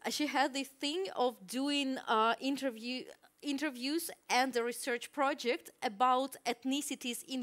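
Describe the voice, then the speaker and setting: A woman's voice: on the high side, fairly bright tone. A young woman from Ukraine with a Ukrainian accent, answering questions at an academic talk, hesitant with many fillers. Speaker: guest speaker.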